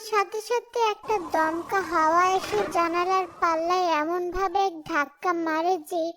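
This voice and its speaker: A woman's voice: extremely high pitch, fairly even intonation, moderate pace. A woman reading scripted story narration for a cartoon, with music behind her.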